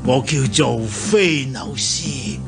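A frail old blind king with a gravelly, slow voice. He sighs and halts, worn out yet grateful, in a radio drama with music. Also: mid-range voice, lively intonation. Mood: weary, regretful. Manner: halting, sighing, feeble. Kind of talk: Cantonese radio drama acting.

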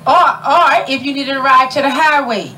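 A woman's voice: sits high, normal speed, sharp tone, a little hiss or hum, rough recording. A middle-aged woman emceeing an outdoor community ceremony, chatty and folksy, laughing often and calling out to the crowd. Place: outdoor tent at a park gathering.

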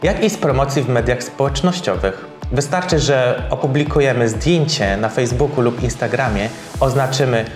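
A man in his thirties, a salon co-owner, speaking in a measured, slightly formal way with small filler pauses in a sponsored interview. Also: mid-range voice. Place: bright hair salon, indoors. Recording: roomy salon with soft background music.

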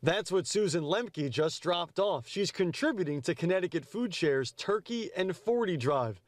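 A young man reporting live for local TV news outdoors at a church drop-off, speaking into a handheld station mic with a brisk, upbeat delivery. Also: high-pitched, animated. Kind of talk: live field report and packaged voice-over. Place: outdoors at a church parking lot.

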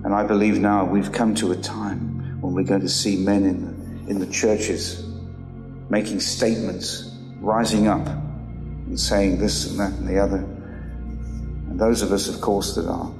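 A man preaching in a very low voice with a gravelly edge, earnest and fond of tag questions. His words ring out in a long hall reverb, with music clearly playing underneath. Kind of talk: sermon with scripture reading. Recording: echoey hall with music behind.

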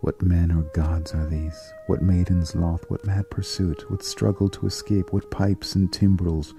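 A man giving a dramatic poetry recitation in a solemn, deliberate manner, pausing between short phrases. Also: sits low, flat delivery. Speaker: poetry reader. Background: flute music.

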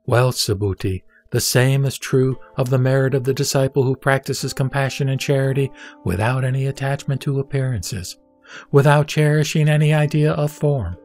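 A man playing the Buddha, a wise, composed spiritual teacher reciting sutra teachings in a solemn, measured, instructive manner. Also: medium pitch, a little mellow, faint music behind, close to an everyday voice. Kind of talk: scripted sutra audio drama recitation.

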